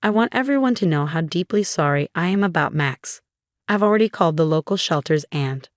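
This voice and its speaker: A woman's voice: lowish voice, expressive, fairly quick, no room sound, studio quality, natural voice. A woman voicing a scheming, falsely sweet grandmother in a dramatic narrated story, cooing and self-righteous. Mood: indignant.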